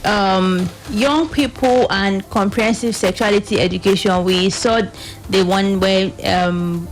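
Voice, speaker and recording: A woman's voice: mid-range voice, steady tone, a little slow, faint music behind, low-quality recording. A woman with a Nigerian accent explaining issues as a radio panel guest, somewhat hesitant, repeating phrases.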